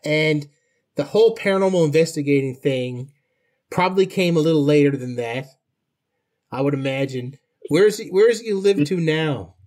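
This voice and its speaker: A man's voice: on the high side, expressive, moderate pace, darkish tone. A man, hosting a podcast interview in a chatty, folksy, joking way. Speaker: podcast host. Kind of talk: casual conversational interview.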